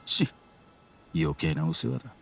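Surly, expressive buyer. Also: male voice, rumbling low, measured pace, gravelly, faint music behind, full character voice. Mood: defiant. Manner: surly, argumentative. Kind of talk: Japanese video game voice acting.

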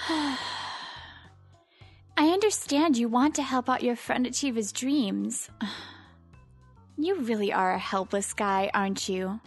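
A woman's voice: a little high, slow pace, long pauses. A woman voicing a bossy but caring teenage delinquent girl in a manga comic dub, casual, blunt and teasing. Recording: background music under the voice.

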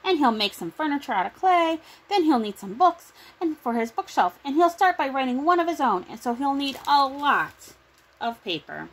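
A middle-aged woman with a high voice reads a children's story aloud. She is recorded in a small room at home, on a device at about arm's length. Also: big pitch swings, normal speed, rough recording. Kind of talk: picture book read-aloud.

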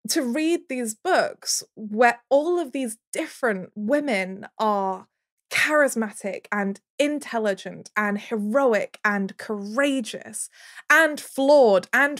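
A woman's voice: fairly high pitch, animated, slow, a bit bright. A woman guest on a podcast speaking earnestly and personally, with emphatic piled-up lists of words.

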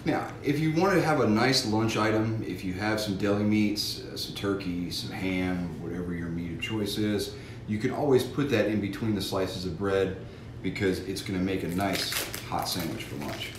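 A man's voice: low-pitched, moderate pace. A middle-aged man gives a folksy, instructive cooking demonstration with safety tips, speaking to kids and parents in a home kitchen. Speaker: fire safety educator. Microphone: camera mic from across the room. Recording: echoey kitchen, mic far off, audible noise.

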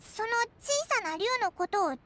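A woman voicing a tiny cheerful fairy companion in a video game, with a squeaky childlike voice and brash, boyish casual speech. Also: expressive, a little fast. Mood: curious. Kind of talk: Japanese game character acting. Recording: music playing behind the voice.

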